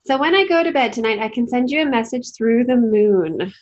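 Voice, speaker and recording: A woman voicing an affectionate grandmother in a children's storybook, warm and expressive. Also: fairly high pitch, brisk, highs cut off, natural voice.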